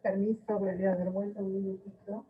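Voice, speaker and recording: A woman's voice: mid-range voice, level tone, fairly quick, slightly rough. A woman giving an informal history lecture with storytelling, speaking emphatically and repeating words for weight, over a video call. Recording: dull, lo-fi video call audio.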